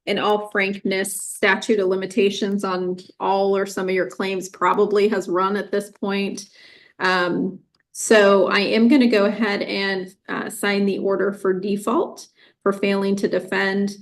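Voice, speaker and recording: A woman sits as judge and delivers her ruling in a measured, firm and formal way. Her voice is mid-pitched and even, the plain tone of a courtroom official.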